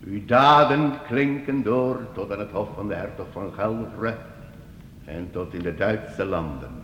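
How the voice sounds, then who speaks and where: Flowery medieval minstrel in a radio play, slow n lowish voice, declamatory, very humble, echoey. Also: male voice, fairly bright tone, muffled recording. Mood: grand, flattering. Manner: florid, declamatory, deferential. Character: grandiloquent wandering medieval minstrel, flattering and humble. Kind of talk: radio drama acting. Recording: echoey, noisy old radio play recording.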